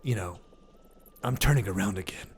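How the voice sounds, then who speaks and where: A man's voice: sits low, moderate pace, faint music behind, voice pushed down, natural voice. A man voicing a crude, irritable Batman in an improvised comedy sketch, blunt and sarcastic, swearing freely. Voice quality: gravelly, husky.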